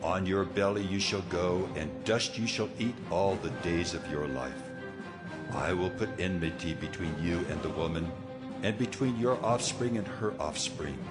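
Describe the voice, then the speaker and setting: An actor playing a stern, commanding God in a dramatized audio Bible, solemn and authoritative. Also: male voice, sits low, measured pace, loud music behind the voice. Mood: solemn judgment. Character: stern, commanding Lord God passing judgment.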